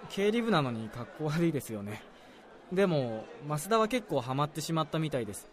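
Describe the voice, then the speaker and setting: A man acts out a young male junior office worker in a radio drama. His voice sits a little high, and he speaks with earnest deference. He sounds apologetic and unsure of himself as he confides. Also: very animated, a little fast. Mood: regretful. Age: young adult. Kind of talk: Japanese radio drama dialogue.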